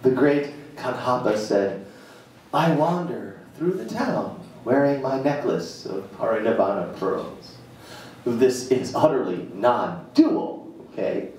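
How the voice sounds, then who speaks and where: A man performs a spoken-word monologue in a very reverberant live venue, picked up from a distance with some noise. His delivery is slow and theatrical, with emphatic pauses and a playful edge. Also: medium pitch, big pitch swings, darkish tone. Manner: emphatic dramatic pauses, playful asides to audience. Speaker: reader performing a written monologue. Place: indoor jazz club stage, live event. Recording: very echoey live venue, distant mic, noisy.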